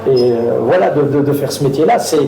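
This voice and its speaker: A man's voice: medium pitch, fairly quick, dark tone, echoey room, a little hiss or hum, rough recording. A man, an actor guest telling anecdotes on stage, hesitant with many fillers and restarts, often laughing. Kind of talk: live talk on stage, storytelling.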